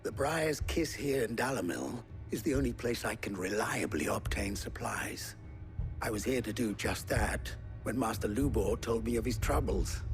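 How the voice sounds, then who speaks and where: An actor playing a stern, weary merchant in a fantasy game, speaking formally and earnestly. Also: male voice, lowish voice. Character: stern, weary male merchant keeping order. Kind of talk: dramatic game cutscene acting.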